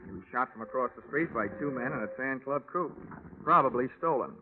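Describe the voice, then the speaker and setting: An American actor playing a hard-boiled, wisecracking insurance investigator in a vintage radio drama, with clipped, dry delivery. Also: male voice, mid-range voice, a little fast, noisy background, close to an everyday voice. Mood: cool. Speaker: lead actor, narrator. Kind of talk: 1940s radio detective acting. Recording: tinny vintage radio recording, music behind.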